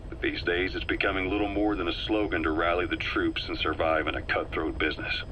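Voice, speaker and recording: An actor playing a shrewd male intelligence officer who talks in a measured, persuasive and faintly sardonic way. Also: deep voice, level tone, background noise, close to an everyday voice. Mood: knowing. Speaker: advisor on a recorded tape. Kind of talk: video game voice acting.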